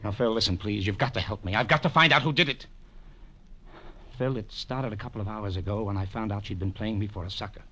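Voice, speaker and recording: Desperate accountant: fairly low voice, earnest, halting, vintage radio melodrama. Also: male voice, bright, forceful tone. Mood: agitated. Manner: earnest, nervous, halting delivery. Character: anxious, pleading male accountant in serious trouble. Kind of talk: 1940s radio drama acting.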